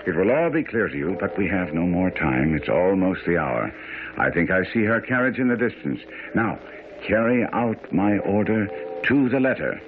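An actor playing a self-assured, brilliant detective in a radio drama, speaking with precise, theatrical authority. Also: male voice, deep voice, very animated, normal speed, over music. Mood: confident, secretive. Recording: tinny, faintly noisy old radio broadcast.

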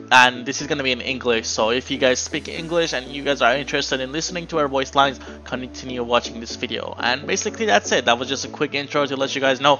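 Gaming host: young man, bright forward tone, informal chatty delivery, muffled lo-fi mic. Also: mid-range voice. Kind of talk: casual video intro. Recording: dull, lo-fi microphone sound.

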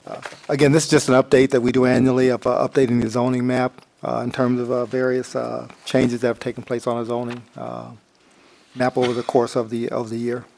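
An American man giving a halting committee report, often groping for words. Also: medium pitch, completely flat delivery, creaky, darkish tone, a little hiss or hum. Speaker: village trustee, committee chair. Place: indoor village board meeting room.

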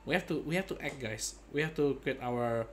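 A man's voice: medium pitch, a little fast, slight background noise. A man in his thirties giving casual, playful playthrough commentary, often echoing and repeating words. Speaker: gaming streamer. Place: indoor gaming setup. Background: game music and dialogue.

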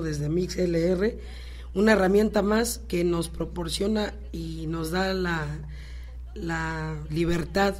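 A woman's voice: lowish voice, darkish tone. A woman giving closing remarks as a guest on live radio. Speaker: radio show guest, radio drama actress.

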